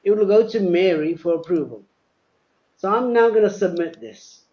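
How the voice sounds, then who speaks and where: A man presenting a live software demo, explaining step by step, often repeating words and spelling things out. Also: sits high, moderate pace. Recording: dull, lo-fi webinar audio.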